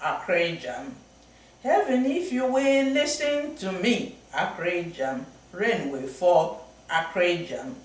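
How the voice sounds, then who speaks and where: A woman's voice: on the low side, sing-song, slow pace. A man voicing a tiny long-legged female frog who chants a pleading song. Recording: very echoey room, from far off.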